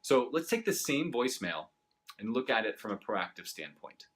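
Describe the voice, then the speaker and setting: A man with a mid-pitched voice presents a short instructional tip as a business coach. He talks directly to the viewer in a conversational, explanatory way. Now and then he checks in with a brief rhetorical question. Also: very animated, moderate pace, a bit bright. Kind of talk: instructional sales tip to camera.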